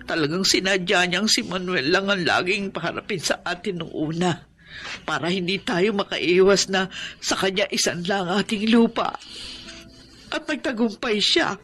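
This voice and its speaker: A woman's voice: medium pitch, a bit bright, lightly acted. A woman playing an anxious, sorrowful mother and wife in a Filipino radio drama, with a fretful, grave delivery.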